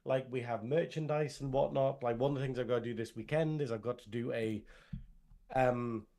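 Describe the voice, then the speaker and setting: A man with a northern English accent, chatting casually as a podcast co-host. His voice is hoarse from illness and he rambles and laughs often. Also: medium pitch, steady tone, brisk, mellow. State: hoarse, lost voice. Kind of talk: casual chat.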